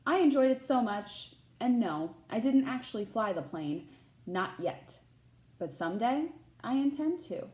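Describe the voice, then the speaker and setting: A woman's voice: a little high, sing-song, unhurried, darkish tone, reverberant. A woman reading the part of a poised, adventurous First Lady in a children's storybook, speaking with enthusiasm. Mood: thrilled, assured.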